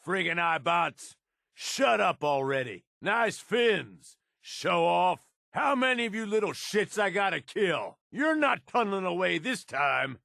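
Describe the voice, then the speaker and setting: A middle-aged game raider lieutenant, crude and scheming. His voice is gravelly and unhurried, rising sharply when he barks orders in a fight. The recording is dry and close, with no room sound. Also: sits high, bright, forceful tone, no echo, full character voice. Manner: gravelly, crude and sardonic, tough-guy drawl. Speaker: companion character. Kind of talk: video game combat and companion barks.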